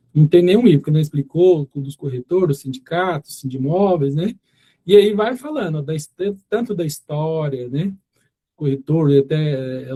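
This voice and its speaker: Middle-aged male instructor with a Goiás accent; a slightly high, warm voice; rambles through the lesson with fillers. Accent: Goiás Brazilian Portuguese. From Goiás, Brazil. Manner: rambling, many fillers and restarts. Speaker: course instructor. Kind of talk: informal class talk.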